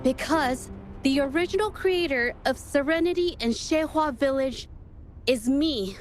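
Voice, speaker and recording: Sincere young game heroine, kinda high voice, formal and measured, music behind. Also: female voice. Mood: resolute. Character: earnest, composed young female painter arguing in court. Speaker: accuser in a courtroom scene. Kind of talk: video game voice acting.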